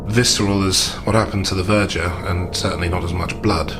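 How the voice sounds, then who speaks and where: Man playing measured, low-voiced gentleman investigator. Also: fairly even intonation, over music, close to an everyday voice. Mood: measured, grave. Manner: deliberate, understated, reasoning aloud. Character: measured, analytical gentleman investigator, calm and macabre.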